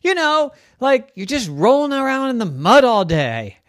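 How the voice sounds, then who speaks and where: A hopeful young piggy from a bedtime story, desperate to be funny, talks in a squeaky, chatty, pleading voice pitched far above an ordinary speaking voice, as a woman acts her out. The voice bounces up and down constantly, and the sound is close and dry. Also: sing-song, moderate pace, no room sound. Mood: eager. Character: eager young female pig wanting to be a comedian.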